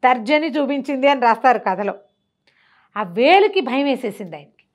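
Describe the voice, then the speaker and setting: A woman's voice: sits high, lively intonation, normal speed. A woman telling a traditional tale aloud in a conversational way, posing rhetorical questions and acting out the dialogue as she goes. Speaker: storyteller. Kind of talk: animated oral storytelling of a traditional tale.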